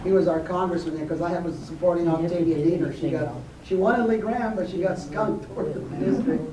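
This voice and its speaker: A man's voice: high-pitched, brisk, mellow. A man in the audience chats about past local races in a reminiscing way. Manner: chatty, reminiscing. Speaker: audience member. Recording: echoey room, from far off, noisy.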